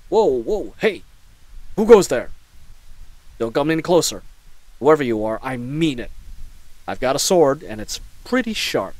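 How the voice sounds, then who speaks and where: Man acting a babbling, flustered knight, swooping pitch. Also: big pitch swings, unhurried, long pauses, a little mellow, no room sound, rough recording. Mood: alarmed, defensive. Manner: rambling, nervously chatty, stammering. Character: babbling, flustered young male knight caught in a snare. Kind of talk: scripted solo audio roleplay acting.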